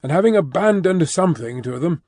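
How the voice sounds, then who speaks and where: Man voicing an earnest, formal young gentleman. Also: fairly high pitch, expressive, brisk. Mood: earnest, thoughtful. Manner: formal, thoughtful, hesitant. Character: earnest, restless young French-born gentleman, courteous and thoughtful. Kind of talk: audiobook character dialogue.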